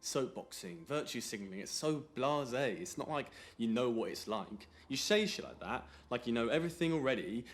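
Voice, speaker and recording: Casting: male actor as deadpan, contrary young British man, medium pitch, argumentative, sweary, natural radio drama. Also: moderate pace, few pauses, lightly acted. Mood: critical. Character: cynical, contrary young friend with bleak theories. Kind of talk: naturalistic radio play dialogue.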